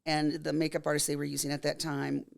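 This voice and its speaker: A woman in her fifties from Texas, a makeup artist telling stories in a casual interview, her voice scratchy and hoarse from a cold. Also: low-pitched, little pitch movement, fast talker. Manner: chatty storyteller, laughs often.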